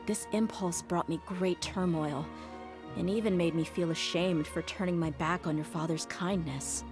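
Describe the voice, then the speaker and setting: A tough young mercenary woman, played by a voice actress, speaks low and reflectively over prominent music. She is candid and resolute, with a wistful streak, in the style of video game dialogue. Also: steady tone, moderate pace, lightly acted.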